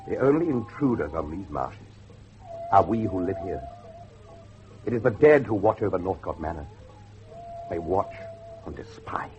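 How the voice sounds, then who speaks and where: A man's voice: deep voice, very animated, moderate pace, long pauses, a little mellow, muffled recording, faint music behind. An actor playing a brooding, fatalistic man of a haunted Victorian manor, speaking formally and ominously in a gothic drama. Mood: ominous, foreboding. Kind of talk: gothic horror drama acting.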